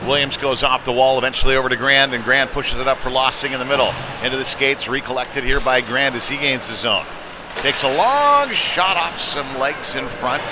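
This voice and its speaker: A man calls a hockey game live as the play unfolds. He speaks in short, clipped fragments at a medium pitch. Clear venue noise runs behind his voice. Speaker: play-by-play commentator. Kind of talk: live youth hockey commentary. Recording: noisy rink, clear background noise.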